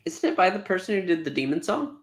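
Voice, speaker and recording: A man's voice: high voice, big pitch swings, fast. A man co-hosting a podcast, chatting casually as a fan giving a review.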